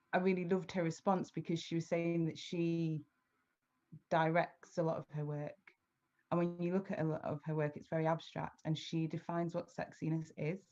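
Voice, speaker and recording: A woman's voice: low voice, darkish tone. A woman reading her poem and then answering thoughtfully, with some hesitation, over a video call. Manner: thoughtful, halting, with fillers. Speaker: poet and guest. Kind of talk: spoken-word poetry and reflective conversation. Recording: dull lo-fi audio.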